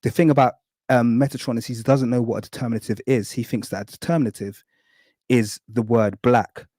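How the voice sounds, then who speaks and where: A man's voice: mid-range voice, steady tone, mellow, no room sound, clean studio sound. A man, a guest on a live stream, explaining ancient writing thoroughly and often apologising for taking time. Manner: thorough, apologetic, keeps saying really quickly. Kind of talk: live-stream lecture with visual aids.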